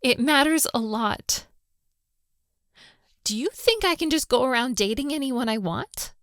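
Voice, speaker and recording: A woman's voice: sits high, expressive, measured pace, fairly bright tone, no room sound, studio quality. A woman playing a hot-tempered, lovestruck young daughter of a mafia boss in a girlfriend roleplay audio drama, exasperated and scolding. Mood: frustrated, bossy. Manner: scolding, exasperated, with sighs and breathy asides.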